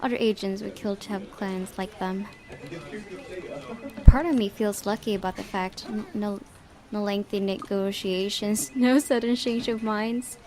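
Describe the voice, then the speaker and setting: Woman, game let's-play host, expressive narration, casual giggly asides, medium pitch. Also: a little slow, music in the background. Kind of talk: reading a visual novel aloud with casual reactions.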